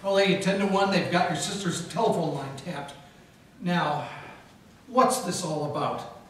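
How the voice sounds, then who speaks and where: Man, hardboiled detective narrator, deadpan wisecracks, radio play, echoey hall, distant mic. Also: a little high, a little slow, slight background noise. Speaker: narrator and lead actor. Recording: echoey hall from far off with music.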